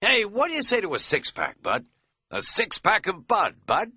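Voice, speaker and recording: Man reads a loud, jokey middle-aged pal; party voice, dry dull audiobook sound. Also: mid-range voice, wildly swooping pitch, unhurried, no echo, pitched above the performer's own voice. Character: boisterous middle-aged male hiking buddy, jokey.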